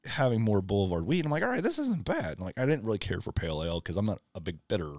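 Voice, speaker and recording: A man's voice: lowish voice, very animated, racing, a little mellow, no echo, studio quality. A man telling a long personal story in casual chat, rambling with lots of "like" and "you know". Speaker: podcast guest.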